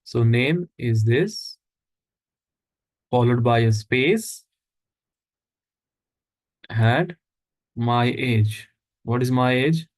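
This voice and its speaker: Man, instructor, live coding lesson, step-by-step explaining, asks the class questions, mid-pitched voice. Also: very slow, pauses often.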